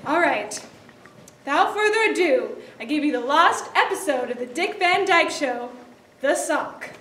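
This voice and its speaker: High-voiced young woman introducing a stage show. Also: expressive, measured pace. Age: young adult. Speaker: playwright and director introducing a show. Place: theatre stage, indoors. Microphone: distant audience camera. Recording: echoey theatre from far off, noisy.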